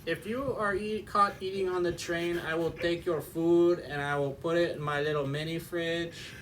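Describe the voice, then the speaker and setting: A man voicing a goofy male train conductor giving silly announcements in a slow, mock-official tone. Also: a little high, fairly even intonation, a bit bright, recorded from a distance, slight background noise, close to an everyday voice.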